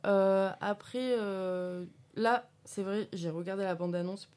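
A woman's voice: medium pitch, slow pace, a little mellow. A woman reviewing a film in a casual radio chat, hesitant and full of fillers.

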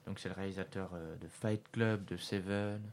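Low-voiced man hosting a radio show. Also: normal speed, slight background noise. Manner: relaxed, talks straight to listeners. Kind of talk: casual radio chat.

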